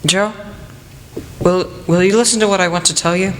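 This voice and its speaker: A young man playing an earnest, devoted young suitor in a radio play, sometimes halting in his delivery. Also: high voice, steady tone, fairly bright tone, a little hiss or hum. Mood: nervous, determined. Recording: reverberant hall.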